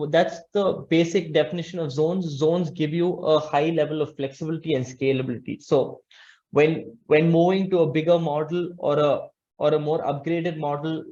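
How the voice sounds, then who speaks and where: A young man with a fairly high voice presents a webinar over a video call. He explains step by step, restarting and correcting his phrases as he goes. The audio is slightly dull and muffled. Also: warm tone. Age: young adult. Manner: halting, repeats and corrects himself. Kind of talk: explanatory slide presentation with examples. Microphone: video call, webcam framing.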